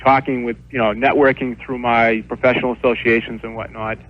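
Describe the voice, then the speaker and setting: Young man, radio caller, mid-pitched voice, casual, halting and hesitant, muffled lo-fi phone line. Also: flat delivery. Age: young adult.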